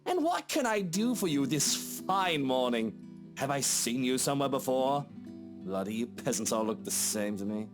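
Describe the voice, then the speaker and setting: A woman's voice: rumbling low, very animated, normal speed, music in the background, pitched below the performer's own voice. A woman voicing an irate, haughty upper-class man, speaking in a pompous, curt and condescending way for a visual novel. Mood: haughty, sarcastic. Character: irate, haughty, sharp-witted British upper-class husband.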